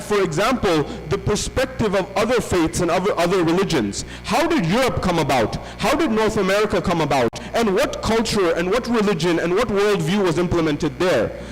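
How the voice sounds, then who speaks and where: A man delivers a religious lecture, piling up rhetorical questions and repeated phrases for emphasis. His voice sits high for a man. The sound carries a long hall reverb and a faint hum underneath. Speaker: visiting lecturer.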